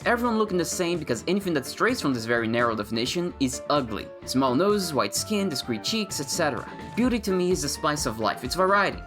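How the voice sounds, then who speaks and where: A woman's voice: sits low, fairly bright tone. A woman giving casual, sarcastic commentary on game mods, with playful mock-dramatic rants. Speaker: gaming video creator.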